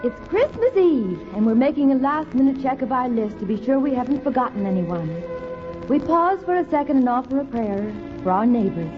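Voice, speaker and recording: A woman's voice: high voice, sing-song, a little fast. A woman giving sentimental scripted narration in 1950s radio style. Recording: dull old radio recording, music behind.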